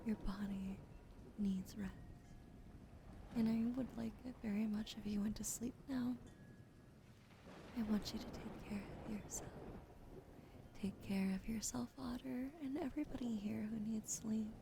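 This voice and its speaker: A woman streaming ASMR in a soft, soothing whisper, greeting and thanking her chat. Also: fairly high pitch, steady tone, measured pace, gravelly, pauses often. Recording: noisy hiss behind the voice.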